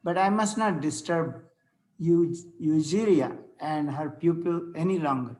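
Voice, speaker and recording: A man's voice: a little high, unhurried, a little mellow, lightly acted. A man reading the part of a courtly, scholarly rector in a Victorian comedy table read, formal and a little hesitant. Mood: politely flustered.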